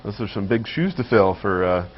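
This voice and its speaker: Low-voiced male TV host, loose delivery. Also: expressive, normal speed, muffled recording, slight background noise. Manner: loose, with many fillers and restarts. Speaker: TV show host. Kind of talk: local TV interview hosting.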